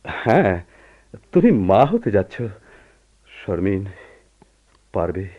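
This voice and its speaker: An actor playing a steadfast, patient husband in a radio drama, earnest and deliberate. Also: male voice, deep voice, wildly swooping pitch, full character voice. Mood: insistent. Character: steadfast, patient husband pleading with his wife. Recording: muffled old radio play recording.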